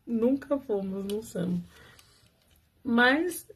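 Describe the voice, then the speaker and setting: A woman's voice: a little high, animated, very slow, fairly bright tone, husky, low-quality recording. A woman telling viewers her own love story in a casual, chatty way, often laughing and talking straight to them.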